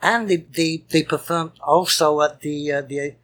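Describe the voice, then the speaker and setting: A man's voice: on the high side, fairly even intonation, a little slow, a bit bright. A man co-hosting a music show with casual, jovial and rambling banter. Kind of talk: casual chatty radio-style banter.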